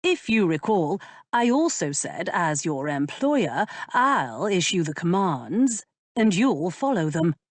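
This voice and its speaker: A woman voicing a teasing aristocratic male earl who speaks in an unhurried, amused drawl. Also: on the low side, big pitch swings, dry recording, full character voice.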